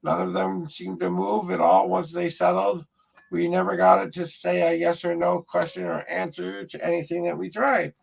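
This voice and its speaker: A man hosts his own ghost-hunting vlog, talking straight to camera in a loose, rambling way and often restarting his sentences. His voice has a heavy gravelly fry, and it sits a bit higher than most men's. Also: little pitch movement, normal speed, noisy background. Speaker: paranormal investigator host. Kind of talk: casual talk to camera.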